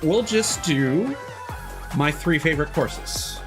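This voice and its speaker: A man chatting casually and haltingly as he commentates on his own video game stream, thinking aloud. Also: a little high, animated. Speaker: live streamer. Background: video game music.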